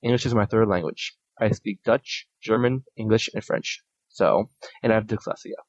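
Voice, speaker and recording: A man hosting a podcast, reading listener submissions aloud in a steady, plain way with small restarts. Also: fairly low pitch, moderate pace, no room sound. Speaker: podcast host. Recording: dull lo-fi audio.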